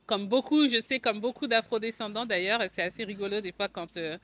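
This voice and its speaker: A woman's voice: medium pitch, a little fast, a bit bright, slight background noise. A woman in her forties with a French accent from France tells her own story in a conversational, explanatory vlog style. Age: 40s. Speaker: video channel host, agency co-founder. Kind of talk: personal vlog storytelling to camera.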